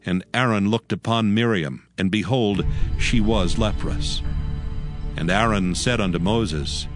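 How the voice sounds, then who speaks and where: A man narrating scripture from a script in a measured, solemn storytelling manner. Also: on the low side. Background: music.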